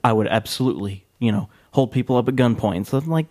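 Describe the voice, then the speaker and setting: A man's voice: medium pitch, quick pace, mellow. A man co-hosting a podcast, chatting and debating in a casual, conversational way. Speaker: podcast co-host. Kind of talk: casual chat.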